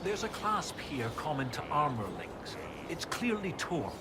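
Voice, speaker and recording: A man's voice: a little high, big pitch swings, measured pace. An actor playing an eager, fussy young male research professor in a video game, explaining things with precise enthusiasm. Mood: enthusiastic, explaining. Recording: game audio over noisy outdoor ambience.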